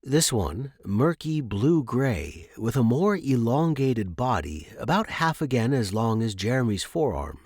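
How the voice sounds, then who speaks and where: A man's voice: mid-range voice, very animated, unhurried, hardly any pauses, a little mellow, dry recording, studio quality. A man reading a scripted adventure story as its narrator.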